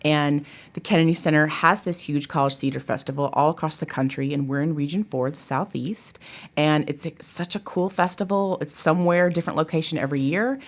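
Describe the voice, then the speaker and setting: A woman's voice: sits low, fairly even intonation, fairly quick. A woman chatting informally and expressively as an interview guest, like a college theatre professor. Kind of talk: casual interview chat.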